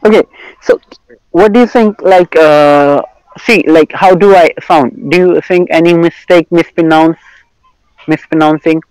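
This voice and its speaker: Higher-voiced young Indian man, halting speech. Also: steady tone, darkish tone, clear, resonant tone, no echo, low-quality recording. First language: Hindi. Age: young adult. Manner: halting, repetitive, searching for words. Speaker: English learner in online conversation. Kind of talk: casual chat.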